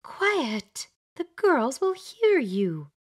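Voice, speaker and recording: A woman voicing a fussy, chattering older witch who plays matchmaker, bossy and brisk in an audiobook. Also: high-pitched, big pitch swings, voice pushed up, exaggerated voice. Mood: indignant. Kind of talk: audiobook character voice.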